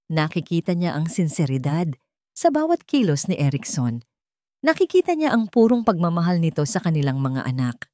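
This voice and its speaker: A woman's voice: low-pitched, dark tone, no room sound, studio quality. A woman with a Filipino accent reading a scripted dramatic story as a storyteller.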